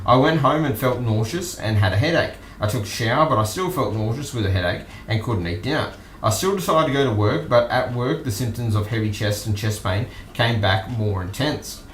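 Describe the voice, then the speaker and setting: An Australian man hosting an online news stream, commenting casually and off the cuff in a halting, musing way. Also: medium pitch, slight background noise. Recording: roomy echo with music behind.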